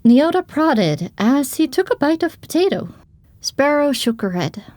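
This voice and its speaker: Woman narrating an audio drama in first person, highish voice, very dry sound. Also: sing-song, measured pace, darkish tone, no echo.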